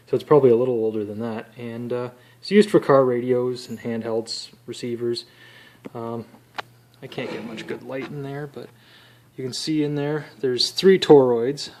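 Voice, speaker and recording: A young man giving a casual, unscripted demonstration, pausing as he thinks aloud. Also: mid-range voice, a little mellow. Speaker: electronics student demonstrating a homemade radio project. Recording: noisy amateur home recording with clicks.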